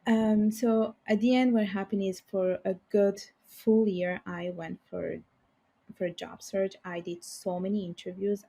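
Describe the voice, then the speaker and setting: A woman's voice: mid-range voice, steady tone, measured pace, creaky, mellow, slightly rough. A young woman, a native speaker of Bengali and Italian, chatting as a guest in an interview with lots of fillers and restarts. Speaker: guest, finance professional. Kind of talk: casual interview conversation.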